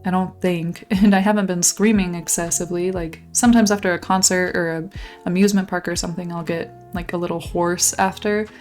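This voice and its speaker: A woman's voice: lowish voice, steady tone, fairly quick, darkish tone, soft background music. A young woman giving casual, gushing commentary while she tours a game island, her voice a little worn from losing it lately. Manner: bubbly, gushing, exclamatory. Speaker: channel host touring a game island.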